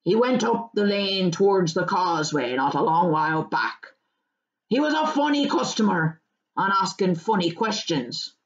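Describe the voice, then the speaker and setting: Storybook hobbit farmer, played by a woman: loud, rustic, blunt and hearty, slightly dull recording. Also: fairly low pitch, lively intonation, normal speed, roomy sound. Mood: grumbling, confiding. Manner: rustic, colloquial, blunt turns of phrase. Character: broad red-faced male hobbit farmer, gruff but hearty.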